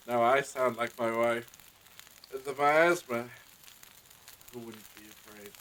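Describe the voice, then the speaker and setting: A man's voice: mid-range voice, fairly bright tone, natural voice. A man playing an anxious, courteous villager, noisy backdrop. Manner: hesitant, polite, old-fashioned formal phrasing. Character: anxious, courteous village husband and father in plague times. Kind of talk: period audio drama acting.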